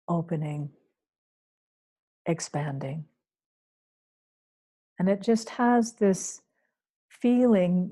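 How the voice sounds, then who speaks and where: A woman teacher leading a guided meditation in a soothing, unhurried way, leaving long pauses between phrases. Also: lowish voice, mellow. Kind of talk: guided meditation, spoken off the cuff to a group.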